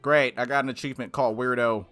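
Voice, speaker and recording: A man reading a visual novel aloud as a game streamer, expressive, with casual slangy reactions. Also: medium pitch, fast, faint music behind. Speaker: game streamer, let's-play host.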